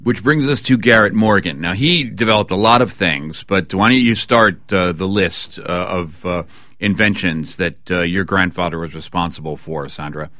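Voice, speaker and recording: A man's voice: deep voice, normal speed, bright, forceful tone, dry recording, clean studio sound. A man hosting a broadcast interview, putting questions and comments to guests. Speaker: interview host.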